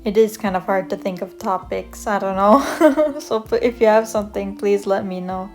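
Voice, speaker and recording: A woman's voice: mid-range voice, moderate pace. A young woman from Canada chatting casually as she draws, rambling with lots of fillers and self-corrections. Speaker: art vlogger. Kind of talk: casual chat over a draw-with-me video. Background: soft guitar music.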